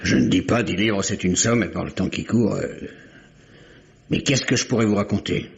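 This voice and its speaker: An actor playing a gruff, grudging tobacconist in a French radio mystery, talking in curt, guarded, colloquial bursts. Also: male voice, fairly low pitch, moderate pace. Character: gruff, wary, grudging male London tobacconist. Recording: dull, muffled old radio recording.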